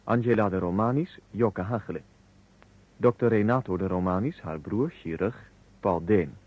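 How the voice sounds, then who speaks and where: Husky male announcer of old radio. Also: lowish voice, moderate pace. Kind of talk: formal scripted announcement. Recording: dull old radio broadcast recording.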